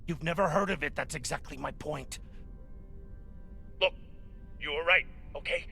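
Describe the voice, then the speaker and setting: Casting: self-serving spaceship captain, forceful and gravelly, gruff, swearing, pleading for his life. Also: on the high side, moderate pace, soft background music. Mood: urgent bargaining. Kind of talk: dramatic video game acting.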